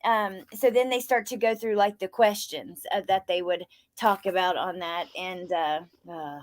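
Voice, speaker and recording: A woman's voice: fairly low pitch, steady tone, measured pace. A woman co-hosting a recap discussion, talking casually and informally with the odd mild swear word. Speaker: co-host of a true crime recap show.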